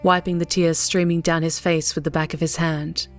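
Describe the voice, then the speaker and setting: A woman narrates an audiobook story in a scripted reading style. Her voice sits a little low, with soft music underneath. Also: flat delivery, normal speed.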